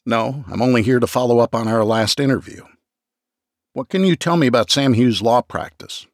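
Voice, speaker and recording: A man's voice: mid-range voice, moderate pace, dry recording, studio quality, lightly acted. A man voicing a blunt, firm police investigator asking curt questions.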